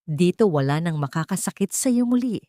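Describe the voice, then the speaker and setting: A woman narrator voicing a forgiving shelter founder in a story, speaking gently and soothingly. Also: fairly low pitch, expressive, a little fast, no echo, studio quality, lightly acted. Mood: gentle, forgiving.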